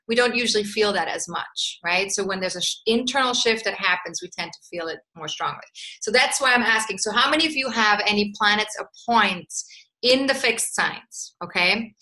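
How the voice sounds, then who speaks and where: A woman's voice: medium pitch, sharp tone, low-quality recording. A woman in her forties from Austria, speaking, is an astrologer and coach. She teaches a live webinar in a chatty way and keeps checking in with "right?". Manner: chatty, asks "right?" often, reads out attendees' answers.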